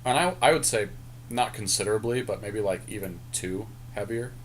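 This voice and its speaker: A man's voice: fairly low pitch, moderate pace, a little hiss or hum, low-quality recording. A man co-hosting a podcast, chatting casually and thinking aloud in informal slang as he talks through a card game draft. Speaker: podcast co-host.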